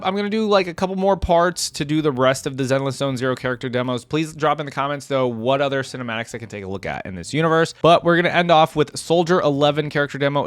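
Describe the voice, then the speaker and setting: A man's voice: on the high side, no echo. A man giving casual, enthusiastic reaction commentary as a video creator, chatty and informal.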